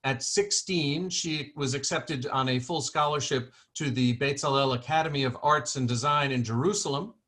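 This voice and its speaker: Man hosting over a video call, earnest and measured, with frequent restarts. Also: mid-range voice, a bit bright. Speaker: host. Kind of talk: formal welcome and interview.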